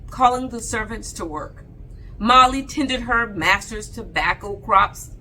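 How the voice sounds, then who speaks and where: A woman reading a picture book aloud as a storyteller, with expressive delivery and deliberate, dramatic pauses between phrases. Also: on the high side, slight background noise, poor audio.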